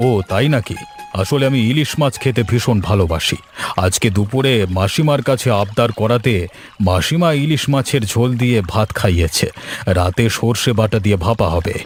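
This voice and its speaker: A man's voice: on the low side, lively intonation, normal speed, soft background music, natural voice. A man voicing a gluttonous, cheerful houseguest in a cartoon story, polite and chatty in a self-satisfied way. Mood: chatty, eager. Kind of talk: Bengali cartoon story voice acting.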